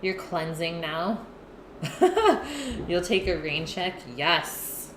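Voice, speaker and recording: A woman's voice: on the low side, lively intonation, extremely slow. A woman hosting a casual cooking livestream from her home kitchen, chatting with viewers and answering their messages as she works. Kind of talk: casual cooking stream chat. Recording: echoey kitchen with background noise.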